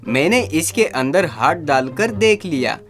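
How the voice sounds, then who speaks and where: A performer voicing an excitable, silly younger male sidekick in a comic animated story, whiny and eager, with singsong delivery. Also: medium pitch, measured pace, soft background music. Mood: impatient.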